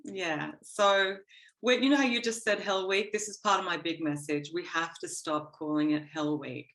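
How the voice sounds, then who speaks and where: A woman with an Australian accent speaks in a somewhat low register, relaxed and conversational. She is frank and encouraging, often breaking into a laugh. She sounds like a guest on a remote podcast call. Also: moderate pace, rough recording. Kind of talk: casual interview chat. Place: home in Copenhagen, remote call.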